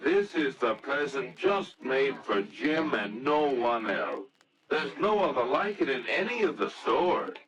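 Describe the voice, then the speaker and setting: A man narrating a scripted story in a theatrical, deliberate old-time radio style. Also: medium pitch, big pitch swings, lo-fi sound, crowd noise.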